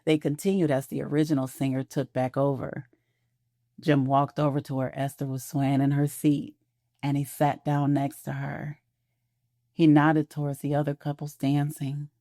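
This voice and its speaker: Low dark-voiced woman narrator. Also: level tone, dry recording, clean studio sound. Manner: soft, measured storytelling. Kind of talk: scripted audio drama narration.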